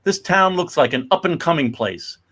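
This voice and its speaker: A man's voice: on the high side, animated, normal speed, fairly bright tone. A man acting a genial middle-aged father and merchant in a dramatic comic reading, hearty and sociable.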